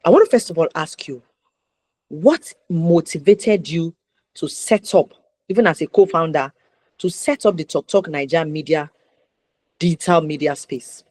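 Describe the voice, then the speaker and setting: Emphatic low-voiced Nigerian woman hosting. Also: darkish tone. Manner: emphatic, deliberate phrasing with rhetorical questions. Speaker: talk show host. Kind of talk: interview hosting with introductions.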